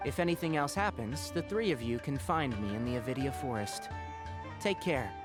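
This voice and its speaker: An actor playing a caring, mildly chiding young forest ranger in an anime video game, speaking articulately. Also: male voice, medium pitch, expressive, normal speed, music in the background, lightly acted. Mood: matter-of-fact.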